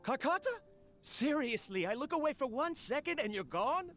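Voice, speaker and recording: A man's voice: very high-pitched, expressive, moderate pace, a bit bright, soft background music. A man voicing a proud, self-important inventor in a video game, declaiming fondly to his pet. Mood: proud, playful.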